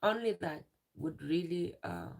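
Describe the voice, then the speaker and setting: Tearful young woman streamer, unhurried delivery with a gravelly edge. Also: lowish voice, huge pitch swings. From Uganda. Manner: halting, repeats herself. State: tearful, emotional. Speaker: livestreamer. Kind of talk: emotional live stream talk.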